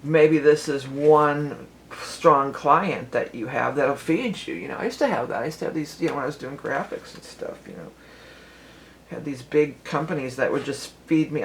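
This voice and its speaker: Rambling, chatty woman tarot reader. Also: a little hiss or hum. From Cleveland, Ohio. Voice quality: lower voice than she once had. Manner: rambling, chatty, many fillers and restarts. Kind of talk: casual tarot reading vlog.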